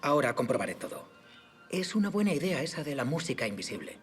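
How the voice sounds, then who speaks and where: A male actor plays a gallant gentleman musician in a period audio drama. Music plays under his mid-range voice. He is courteous, charming and full of flattery. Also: medium pitch, fast talker, natural voice. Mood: pleased.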